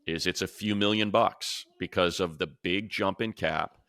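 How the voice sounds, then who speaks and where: Male American sports co-host, very low voice with a forceful edge, casual and emphatic delivery. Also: fairly even intonation, measured pace, no room sound. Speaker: sports podcast co-host and commentator.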